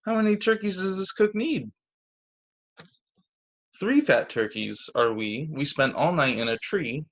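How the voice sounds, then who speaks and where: Man reading storybooks aloud to children. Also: fairly high pitch. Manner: expressive, deliberate phrasing for young children. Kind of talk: read-aloud storytime narration. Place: video call story session. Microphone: video call microphone.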